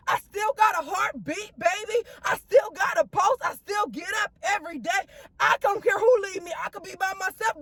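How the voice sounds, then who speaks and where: A woman preaching with fervor in a strikingly high voice. She often shouts, and her voice cracks with tears at times. She keeps calling her listeners "baby". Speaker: inspirational speaker. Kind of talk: impassioned preaching monologue.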